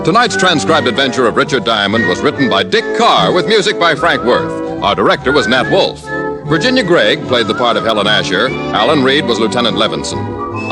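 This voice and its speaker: A man announcing commercials and credits on a 1950s radio show, with an emphatic selling delivery. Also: medium pitch.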